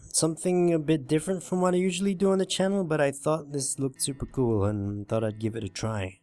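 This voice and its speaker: A man's voice: a little high, a little mellow. Casual man chatting over music. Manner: informal, rambling, searching for words. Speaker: let's play host reading a visual novel. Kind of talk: casual video commentary and sign-off. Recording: music behind the voice. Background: game music.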